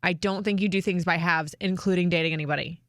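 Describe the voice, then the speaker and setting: Lady radio cohost, bright forward voice, candid chatty talk, dry close mic. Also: lowish voice, fairly even intonation, fast talker, no echo. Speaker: morning radio show co-host. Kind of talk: casual radio chat. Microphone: close studio mic.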